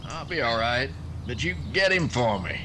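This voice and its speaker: A man playing a folksy, plucky cowboy sidekick in a western radio drama, with drawn-out frontier talk. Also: mid-range voice, very animated, close to an everyday voice. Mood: determined.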